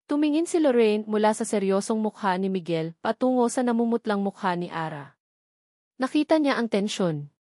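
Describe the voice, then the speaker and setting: A woman's voice: medium pitch, expressive, normal speed, mellow, no echo, studio quality. A woman giving scripted, dramatic narration of a story as its narrator.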